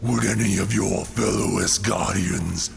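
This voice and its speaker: An actor playing a vengeful, cold alien god-killer villain in a comic-book audio drama, with gravelly, grandiose, theatrical delivery. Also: male voice, deep voice, fairly even intonation, a little slow, noisy background, full character voice. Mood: cruel, patient. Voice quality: gravelly, creaky. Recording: loud music under the voice.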